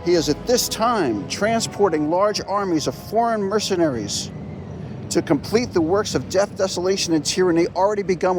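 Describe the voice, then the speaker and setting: A man's voice: on the high side, noisy background. A man reading a historical document aloud, earnestly, leaning into each item of a list. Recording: clear music behind the voice.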